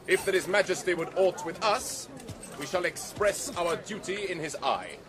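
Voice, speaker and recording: An actor playing a brisk, formal young warrior prince giving orders in declamatory Shakespearean radio drama style. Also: male voice, sits high, a crowd in the background, close to an everyday voice. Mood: commanding.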